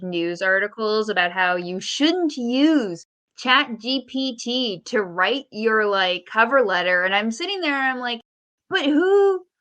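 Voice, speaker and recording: A woman talking casually as a podcast co-host, earnest and a bit halting as she argues her points. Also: fairly high pitch, a bit bright. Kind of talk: casual speculative podcast chat.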